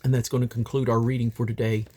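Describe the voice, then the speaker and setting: A man's voice: mid-range voice, flat delivery, fast talker, a little mellow, husky. A man reading Bible scripture aloud in old King James English, in a solemn, declamatory manner. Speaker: Bible reader.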